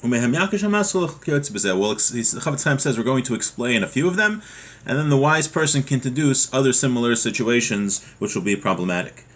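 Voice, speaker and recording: A man's voice: medium pitch, animated, quick pace, a bit bright. A man giving an informal lesson as a religious teacher, reading from a text and then explaining it with everyday examples.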